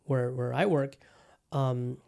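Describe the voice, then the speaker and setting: A man's voice: mid-range voice, fairly even intonation, slow, gravelly, warm tone. A man hosting his own podcast in a casual solo chat, upbeat and rambling, often repeating his phrases. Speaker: podcast host.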